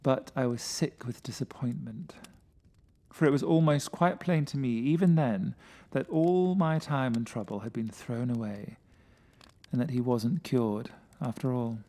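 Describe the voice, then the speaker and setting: A man with a mid-pitched voice reading a first-person gothic story as dramatic narration. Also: measured pace, dark tone. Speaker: story reader.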